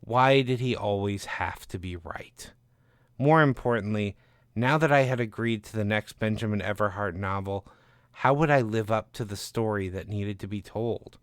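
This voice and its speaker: A man's voice: fairly low pitch, normal speed, smooth, no room sound, clean studio sound. A man reading scripted first-person narration as the author and narrator of a short story.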